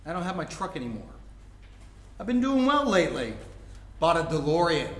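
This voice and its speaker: A man's voice: fairly high pitch, very animated, moderate pace. A man playing a brash, athletic older brother in his thirties who needles in mocking slang, in a staged comedic play reading. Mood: defensive. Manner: slangy, mocking, jock-like delivery. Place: indoor room before a live audience. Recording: roomy live stage recording.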